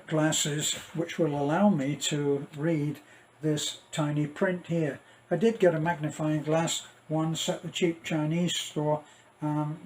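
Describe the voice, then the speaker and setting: A middle-aged man giving a casual, rambling unboxing commentary, halting and thinking aloud as he goes. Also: fairly high pitch, darkish tone, a little hiss or hum, rough recording. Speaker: vlogger.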